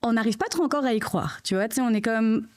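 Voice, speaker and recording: A woman's voice: a little high, fast talker, darkish tone. A woman with a French accent, a podcast guest telling her story in a chatty way, full of fillers. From France. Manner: chatty, filler-heavy, often says "tu sais". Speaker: podcast guest, mother of triplets. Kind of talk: casual interview storytelling.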